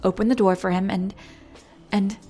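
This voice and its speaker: A woman playing a nervous, lovesick young pop star in an intimate audio roleplay, speaking in a halting, flustered way. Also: medium pitch, fairly even intonation, music in the background, natural voice. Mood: flustered, self-coaching. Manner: flustered, halting, self-interrupting, breathy sighs.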